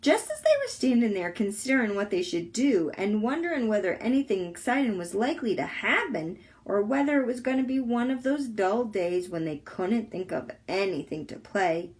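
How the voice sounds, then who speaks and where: A woman reading a children's book aloud as a volunteer, with expressive, deliberate storytelling. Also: medium pitch.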